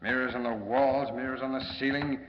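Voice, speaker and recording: An actor playing a serious, practical crime-fighter in an old-time radio drama, speaking earnestly in clipped questions and remarks. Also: male voice, mid-range voice, steady tone, fairly quick, reverberant, long reverb tail, natural voice. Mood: exasperated. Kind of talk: 1940s radio acting. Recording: dull, noisy vintage radio recording.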